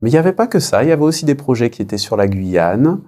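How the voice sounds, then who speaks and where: A man in his thirties from French Guiana, answering as an interview guest in a reflective, conversational way with frequent pauses. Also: mid-range voice, sing-song, warm tone. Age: 30s. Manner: hesitant, reflective, pausing often.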